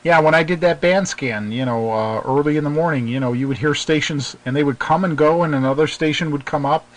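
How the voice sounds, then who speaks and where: A man hosting a casual hobby radio chat, talking in a halting, hesitant way as he interviews. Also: fairly high pitch, poor audio. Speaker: host, interviewer.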